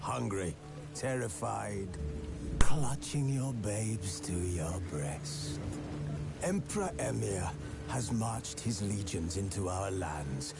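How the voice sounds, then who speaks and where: An actor playing a stern preacher in a game cinematic, declaiming solemnly about doom to a frightened crowd. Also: male voice, medium pitch, gravelly, exaggerated voice. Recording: loud orchestral score behind voice. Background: loud music.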